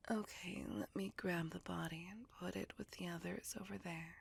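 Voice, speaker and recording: A woman's voice: on the low side, steady tone, moderate pace, heavily acted. A woman voicing a possessive, seductive vampire in a whispered ASMR roleplay, doting on her lover with intimate, coaxing control. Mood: seductive, pleased. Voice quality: breathy whisper with husky, creaky edge. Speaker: voice actor.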